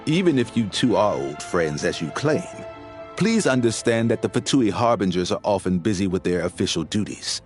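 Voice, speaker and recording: A condescending, officious gatekeeper in a video game. His voice sits slightly low with a lively, swinging pitch. Soft game music hums beneath his bureaucratic lecturing. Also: moderate pace. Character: officious male gatekeeper guard, smug and rule-bound. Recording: game audio with faint music.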